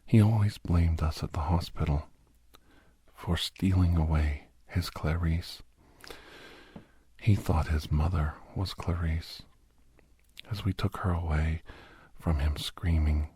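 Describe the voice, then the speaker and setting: A man playing a grave, haunted doctor and war veteran in an ASMR horror audio drama, speaking slowly in a breathy, husky hush that often slips toward a whisper. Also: sits low, steady tone, heavily acted. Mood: grave, sorrowful. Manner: slow, halting, hushed, often slipping into whisper.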